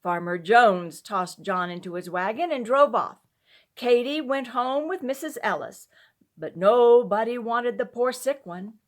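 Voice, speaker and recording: A kind-hearted village wheelwright from a classic storybook, voiced by a woman reader. He is earnest and resolute, gentle in feeling but firm when he makes his case. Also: on the high side, a little slow, natural voice. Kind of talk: storybook character voice.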